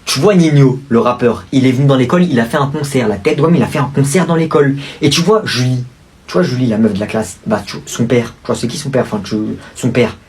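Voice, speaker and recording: A young man acting as a schoolboy in a comedy skit, boasting in fast slangy talk. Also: medium pitch, a little mellow. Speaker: skit actor.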